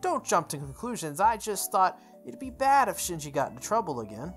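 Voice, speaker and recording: An earnest schoolboy from a visual novel, acted out by a woman, speaks in a low register. He sounds puzzled and questions or protests what he hears. Faint music runs behind him. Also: sing-song, brisk. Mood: indignant.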